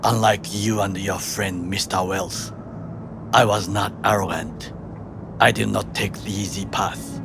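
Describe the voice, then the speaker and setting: A man playing a proud, principled ex-soldier bodyguard in a video game, speaking formally and deliberately with a Japanese accent. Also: low voice, steady tone, vocal fry. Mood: stern, proud. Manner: formal, measured, deliberate phrasing without slang. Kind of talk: video game cutscene acting. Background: music under the voice.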